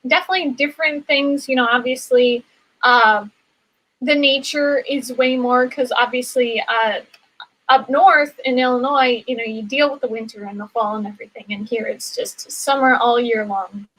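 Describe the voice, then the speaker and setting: An American woman speaks in a high, very bright voice. She chats casually as a guest among acquaintances, friendly and a bit hesitant as she feels out her sentences. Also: fairly even intonation, normal speed, rough recording. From American Midwest. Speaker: guest in a group chat. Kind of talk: casual chat.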